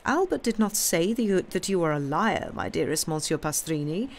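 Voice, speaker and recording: Woman voicing a courteous young gentleman. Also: fairly low pitch, expressive, normal speed. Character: reasonable polite young male gentleman.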